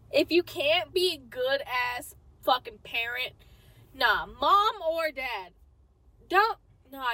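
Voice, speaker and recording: A young woman co-hosting a podcast, reacting loudly and profanely in casual chat. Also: sits high, dry recording, low-quality recording. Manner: loud, profane, reactive, animated. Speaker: podcast co-host.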